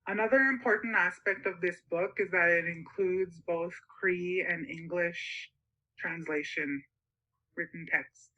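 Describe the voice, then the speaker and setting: A woman's voice: lowish voice, steady tone, unhurried, bright, forceful tone, highs cut off. A young woman, speaking as a student teacher presenting and reading aloud. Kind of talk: informal presentation and read-aloud.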